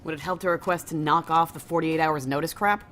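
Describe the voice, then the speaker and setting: A woman's voice: sits low, steady tone, brisk, fairly bright tone, a little hiss or hum. Informal, loyal female security deputy. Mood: matter-of-fact, puzzled. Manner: casual, colloquial, hesitant around her boss. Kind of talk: naturalistic science fiction audio drama acting.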